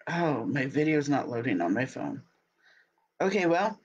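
A woman in her thirties hosting a casual live video from her home office, sounding stuffy and scratchy from a cold, chatty and quick to laugh. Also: on the low side. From Utah. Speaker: host. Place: home office, small room indoors. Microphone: webcam at desk.

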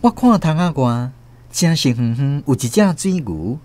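A man's voice: medium pitch, very animated, moderate pace, warm tone. A man with a Taiwanese accent narrating a scripted radio drama. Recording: faint vehicle noise behind. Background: car or bus ambience.

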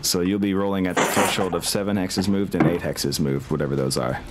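A man's voice: deep voice, fairly even intonation, brisk. A man talking casually as game master of a tabletop wargame. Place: indoor room, online group game stream. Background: music.

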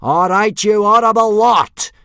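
A man voicing a loud, blustering, bad-tempered Viking warrior instructor in a children's audiobook. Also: deep voice, wildly swooping pitch, very slow, slightly rough, dry recording, studio quality, exaggerated voice. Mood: bellowing, menacing. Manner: bellowing, blustering, drill-sergeant pomp.

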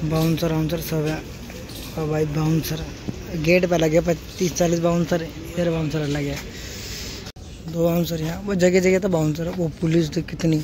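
Young guy vlogging on his phone, fairly high voice, chatty, loud traffic all around. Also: level tone, normal speed. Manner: chatty, keeps calling viewers brother. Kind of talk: casual vlog narration. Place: outdoors on city roads and at a stadium. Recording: noisy outdoor phone recording.

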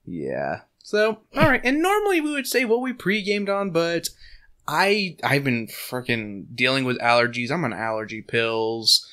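A slightly hoarse man with a bright, edgy tone hosts a casual book podcast. He rambles, leans on fillers and laughs often, close on a desk microphone at home. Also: medium pitch, big pitch swings, a little slow. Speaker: podcast host. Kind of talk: casual chat and book recap. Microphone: desk condenser mic.

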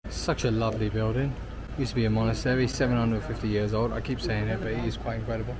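A man's voice: on the low side, little pitch movement, fast, noisy background. A man in his thirties chatting casually and admiringly as a travel vlogger, recorded outdoors on a handheld camera. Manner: admiring, repetitive exclamations. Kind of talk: casual travel vlog chat. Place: outdoors at a mountain mosque. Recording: loud music under the voice. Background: loud music.

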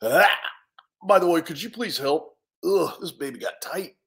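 A man's voice: a little high, animated, normal speed. A man voicing a huge, goofy, boastful talking bear who was a pet hamster, using laid-back dude slang for a children's storybook. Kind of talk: animated children's storybook read-aloud.

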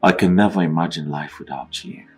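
An actor playing a doting husband with a volatile temper in a film drama. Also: male voice, rumbling low, fairly quick, a little mellow, slightly rough. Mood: tender, romantic. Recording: music behind the voice.

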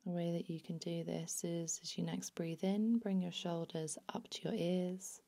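A woman narrating a guided body scan meditation in a soothing, unhurried, hushed manner. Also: on the low side, level tone, gravelly.

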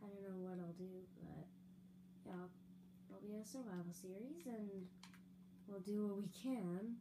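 A young woman chats slowly through a building game, giggling and trailing off between fillers. Her voice is slightly raspy, and a steady hum sits under the recording. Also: mid-range voice. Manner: chatty, giggly, rambling with fillers. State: slightly raspy, throat-clearing. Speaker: gaming video creator. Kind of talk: casual let's-play building commentary. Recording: audible hum behind voice.

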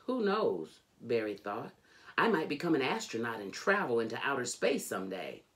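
A middle-aged woman reading a children's book aloud in an expressive, deliberate, emphatic storytelling manner. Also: rumbling low. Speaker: storybook reader. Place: indoors, furnished home room.

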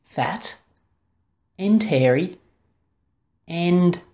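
A woman reads a children's story in a low voice with lively, sweeping intonation. She takes it slowly and deliberately, stretching key words for drama. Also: highs cut off, heavy background noise. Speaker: storybook reader. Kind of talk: expressive children's story narration.

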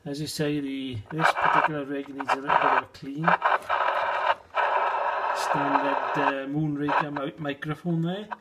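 A middle-aged British man giving a casual, unhurried and low-key hobbyist demonstration in a conversational way. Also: level tone, heavy background noise. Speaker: hobbyist video host. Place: small indoor space, shed.

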